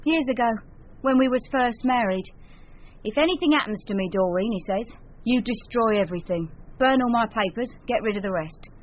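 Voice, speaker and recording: Casting: cheeky, blunt London wife, raised register, radio drama, muffled audio. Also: female voice, a little high, lively intonation, moderate pace. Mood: offhand. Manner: blunt, offhand, colloquial. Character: brash, flirty, unsentimental London wife.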